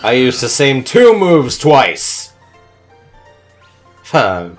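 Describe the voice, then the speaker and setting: A man's voice: medium pitch, wildly swooping pitch, drawn-out words, soft background music. A man hosting a gaming video with casual, chatty and playful gameplay commentary.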